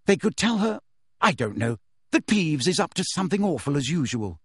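A man's voice: a little high, big pitch swings, normal speed, a bit bright, slightly rough, no echo, studio quality, heavily acted. A man voicing an earnest, bossy teenage witch girl in an audiobook, with an urgent, anxious manner. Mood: brisk, planning. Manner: urgent, pleading, fierce. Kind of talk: audiobook character voice.

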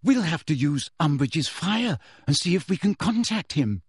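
A man's voice: fairly high pitch, big pitch swings, moderate pace, husky, dry recording, studio quality, pitched above the performer's own voice. A man voicing an earnest, bossy teenage witch girl in an audiobook, with an urgent, anxious manner. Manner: urgent, pleading, fierce. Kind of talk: audiobook character voice.

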